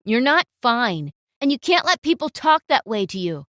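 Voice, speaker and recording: A protective young man, as a woman narrator plays him, speaks up firmly and defiantly. His lines move around a lot in pitch and sound dry and close. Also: sing-song, moderate pace, a bit bright, no echo. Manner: firm, defiant. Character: protective young boyfriend, firm and angry.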